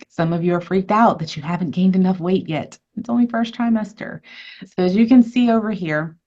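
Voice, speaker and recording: A woman physician hosts an informational webinar in a low, easy voice. She talks through slides conversationally, fielding questions and running polls. The audio is a little muffled, like a computer microphone on a video call. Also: vocal fry, darkish tone. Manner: friendly, conversational, answers questions and runs polls. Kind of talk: educational webinar presentation.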